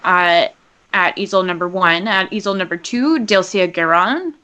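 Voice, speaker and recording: Bright-voiced woman commentating, upbeat. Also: fairly low pitch, moderate pace. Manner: upbeat, chatty, many fillers. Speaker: co-host and commentator. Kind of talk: live online commentary.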